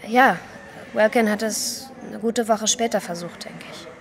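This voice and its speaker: A woman's voice: medium pitch, unhurried, natural voice. An actress playing a reserved, somewhat hesitant young woman in an audio drama. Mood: subdued. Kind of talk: German audio drama acting.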